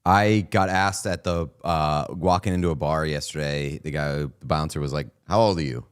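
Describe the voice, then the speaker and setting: A man in his mid thirties, co-hosting a casual sports watchalong, telling stories and reacting excitedly to the game with some swearing. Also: rumbling low, moderate pace, clean tone. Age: 30s. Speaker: podcast co-host.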